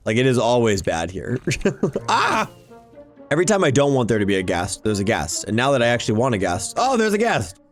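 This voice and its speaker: A man's voice: mid-range voice, wildly swooping pitch, brisk, soft background music. A man giving casual, exclamation-filled gameplay commentary, often talking to himself as he plays. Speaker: gaming YouTuber hosting a Minecraft series.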